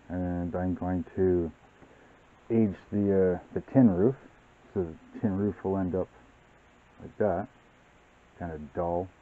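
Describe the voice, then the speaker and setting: A man hosting a model-building channel, explaining his workbench steps in a relaxed, rambling way with many fillers. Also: low voice. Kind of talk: casual hobby tutorial narration. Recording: tinny, phone-like sound.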